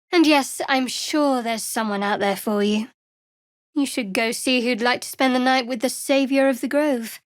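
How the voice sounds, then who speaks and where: A young female cleric of a dark goddess, acted by a woman for a fantasy video game. Her voice sits fairly high and is recorded very dry. She speaks with guarded, measured delivery and a wry, sardonic edge. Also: dry recording, natural voice.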